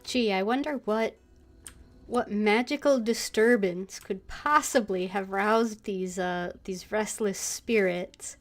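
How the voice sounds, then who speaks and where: A woman's voice: mid-range voice, takes it slowly, soft background music. A woman streaming a game, voicing her character's lines aloud with casual, wry asides.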